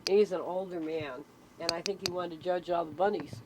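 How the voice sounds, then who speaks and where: A woman's voice: fairly even intonation, brisk. A middle-aged woman chatting casually, explaining things in an anecdotal storytelling way. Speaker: interviewee, CB club member. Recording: noisy amateur recording with vehicle rumble.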